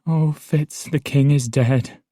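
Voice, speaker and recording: A man voicing an excitable, headstrong elderly noblewoman in an audiobook, talking in a rapid, indignant rush. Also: mid-range voice, husky, dry recording, clean studio sound, close to an everyday voice. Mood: breathless. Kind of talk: audiobook character voice.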